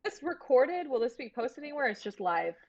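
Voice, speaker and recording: A woman's voice: high voice, sing-song, brisk, a little mellow, dull audio. A woman, a podcast guest, chatting casually as she signs off. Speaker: podcast guest, horror producer. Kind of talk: casual sign-off chat.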